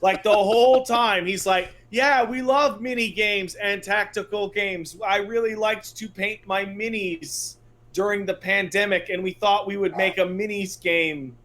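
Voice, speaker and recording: Wry man with a higher voice, podcasting. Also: fairly even intonation, unhurried, fairly bright tone, a little hiss or hum. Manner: wry, mocking, acts out imagined quotes. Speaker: podcast co-host. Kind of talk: casual, profane comedic commentary on games.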